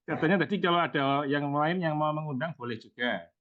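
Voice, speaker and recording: A man's voice: fairly high pitch, fairly quick. Man chairing an online meeting. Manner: hesitant, asks many questions. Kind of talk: casual online meeting discussion. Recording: muffled noisy call audio.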